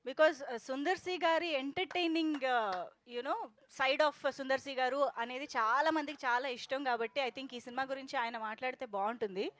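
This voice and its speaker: A woman's voice: high voice, a little fast, a bit bright. A woman with a South Indian accent informally hosting a press meet.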